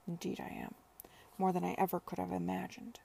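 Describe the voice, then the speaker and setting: A woman voicing a tender, earnest male suitor in a period romance audiobook, speaking in a hushed, intimate manner. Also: on the low side, completely flat delivery, fairly quick, vocal fry, a little hiss or hum.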